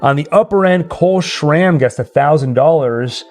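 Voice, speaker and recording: Guy hosting a livestream game, kinda high voice, playful and chatty, soft music in back. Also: moderate pace. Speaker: host of a live stream. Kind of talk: casual live-stream banter and game hosting.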